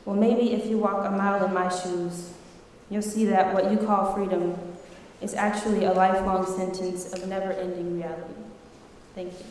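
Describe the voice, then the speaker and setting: A woman's voice: medium pitch, little pitch movement, normal speed, a little mellow, slight background noise. Woman, spoken word poet, impassioned, emphatic, rhetorical, strong hall echo. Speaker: student poet. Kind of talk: spoken word poetry recital. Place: large echoey hall. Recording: echoey hall with long reverb.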